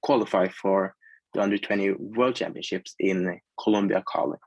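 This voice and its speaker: A young man in his late teens speaks English with a Norwegian accent. His voice is fairly low and even in pitch, in casual interview talk with a dry, close sound. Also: moderate pace, no room sound, dull audio. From Norway. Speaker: athlete guest.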